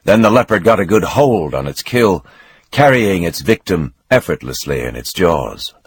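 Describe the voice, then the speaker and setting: Guy narrating a novel, husky and low, pitch swings a lot. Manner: measured, expressive storytelling. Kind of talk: dramatic reading of a novel.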